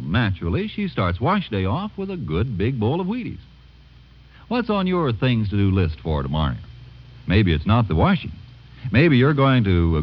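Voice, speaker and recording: Animated American radio pitchman. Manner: folksy, chatty, salesy. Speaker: announcer and pitchman. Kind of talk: classic radio advertising read. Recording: dull vintage radio recording.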